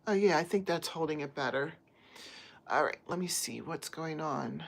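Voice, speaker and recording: A woman's voice: low voice, normal speed, creaky. A woman from the United States, a crochet livestream host, chatting casually with viewers and reading their comments from her phone at home. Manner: chatty, friendly, talks to chat viewers by name. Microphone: phone on a stand.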